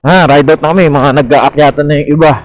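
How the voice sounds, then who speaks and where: A man with a Filipino accent talks in a relaxed, conversational motovlog style. His voice is a bit higher than most men's, and he chats casually as he rides. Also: fast talker. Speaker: motorcycle vlogger. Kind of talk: casual riding chat.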